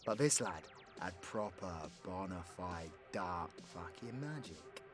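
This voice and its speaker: A man's voice: low-pitched, lively intonation, slow. A British man playing a cocky, foul-mouthed, flirtatious supervillain who rambles theatrically in an audio roleplay. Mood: gleeful, crude. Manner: rambling, teasing, dramatic storyteller, sweary. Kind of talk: villain ASMR roleplay monologue. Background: background music.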